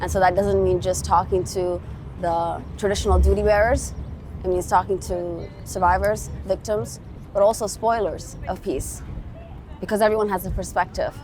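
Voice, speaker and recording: A woman's voice: medium pitch, steady tone, moderate pace, darkish tone, slight background noise. A woman from Somalia giving earnest answers as an interview guest about her work in advocacy. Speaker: interview guest, peace organisation executive.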